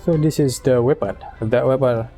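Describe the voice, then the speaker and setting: A woman's voice: very deep voice, normal speed, loud music behind the voice. A woman casually reacting to a game as a streamer, muttering laid-back asides. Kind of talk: casual gameplay reaction commentary.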